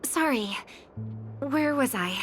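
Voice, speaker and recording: Gentle, high-voiced young woman, slow and earnest. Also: lively intonation, exaggerated voice. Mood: soft, pensive. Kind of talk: video game voice acting, English dub. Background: background music.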